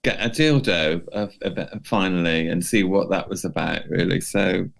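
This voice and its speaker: A man with a deep voice and a lot of creak co-hosts a casual podcast. He talks in a halting way, often repeating a word or phrase before carrying on. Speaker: podcast co-host. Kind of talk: casual chat.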